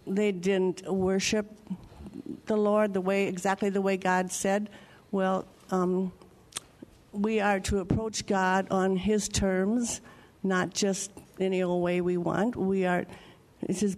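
A man adds his thoughts to a Bible discussion in a slow, halting way. His voice stays flat and even, with frequent ums between phrases. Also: sits high. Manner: hesitant, many ums. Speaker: guest in a discussion. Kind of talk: casual discussion.

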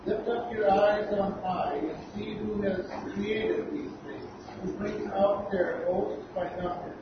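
A man in a class reading a scripture passage aloud in a flat, even way. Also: sits high. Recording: distant, roomy and noisy lo-fi recording.

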